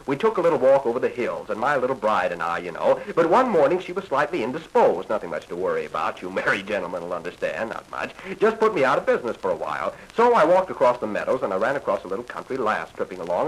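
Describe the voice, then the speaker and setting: A man's voice: medium pitch, sing-song, quick pace, few pauses, husky, low-quality recording. An American man telling bawdy comic stories in a folksy, crude, conversational 1940s monologue style, recorded on an old 78 rpm record.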